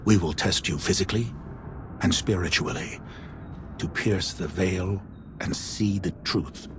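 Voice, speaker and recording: An actor playing a solemn mystic elder in a video game, speaking formally and gravely as if administering a trial. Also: male voice, low voice, measured pace, noisy background. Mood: grave, probing. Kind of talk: dramatic video game acting.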